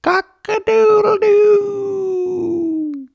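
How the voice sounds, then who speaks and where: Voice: vocal fry, a little mellow, dry recording, lo-fi sound, heavily acted. Piercing storybook rooster crow. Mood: loud, insistent. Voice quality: shrill, piercing. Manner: drawn-out, belted crowing. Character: loud crowing rooster, shrill and insistent.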